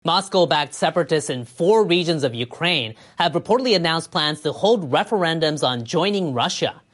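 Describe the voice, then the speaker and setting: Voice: medium pitch, lively intonation, moderate pace. News reader, mid-range voice, for scripted world news bulletins.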